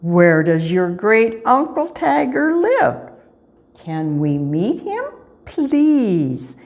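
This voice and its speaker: A woman's voice: mid-range voice, big pitch swings, extremely slow, darkish tone, exaggerated voice. An older woman voicing a little male kitten in a children's storybook, eager, curious and easily impressed. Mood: eager, pleading. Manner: eager, questioning.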